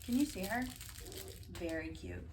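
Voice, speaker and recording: A woman doing a casual ASMR-style storytime, reading aloud and drifting into dry, deadpan asides. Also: fairly low pitch, a little slow. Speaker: cat vlogger reading bedtime picture books aloud. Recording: distant mic, roomy background noise.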